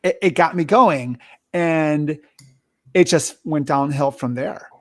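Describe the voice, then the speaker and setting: A man, likely middle-aged, hosting a casual movie discussion, talking haltingly and often rephrasing himself. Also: fairly high pitch. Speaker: host of a movie review show.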